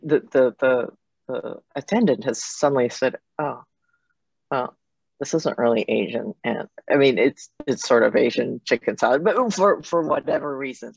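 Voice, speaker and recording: A middle-aged woman from Japan giving reflective, halting, conversational answers as an interview guest on a video call. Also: extremely low pitch, vocal fry, husky, dry recording. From Japan, with years in Germany and Italy. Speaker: interview guest, foundation president. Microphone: computer mic on a video call. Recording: dull video-call audio.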